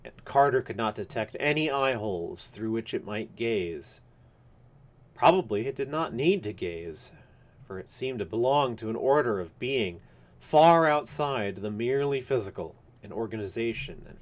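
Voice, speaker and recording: A man reading a literary horror story aloud live, with measured, formal and dramatic phrasing. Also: fairly low pitch, animated. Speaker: reader of a story.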